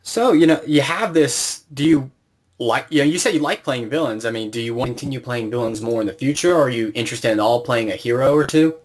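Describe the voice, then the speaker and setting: A man's voice: medium pitch, quick pace. A man conducting a casual interview, explaining things informally as the interviewer. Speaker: interviewer and series creator.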